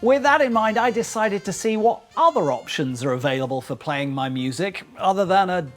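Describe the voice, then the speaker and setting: Wry, animated man with a high-pitched voice. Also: moderate pace, soft background music. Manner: wry, self-deprecating, chatty. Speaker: YouTube host. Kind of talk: scripted vlog narration with wry asides.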